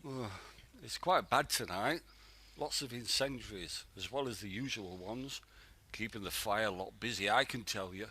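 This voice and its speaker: A man's voice: fairly low pitch, moderate pace, bright, forceful tone, slightly rough. A man playing a blunt, impatient, injured wartime patrolman who grumbles in colloquial speech in an amateur radio play. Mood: subdued, sympathetic. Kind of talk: amateur radio drama acting.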